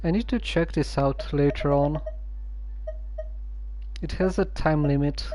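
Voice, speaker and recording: Low, mellow woman streaming a game. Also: unhurried, dry recording. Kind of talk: casual gameplay commentary. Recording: voice over game music.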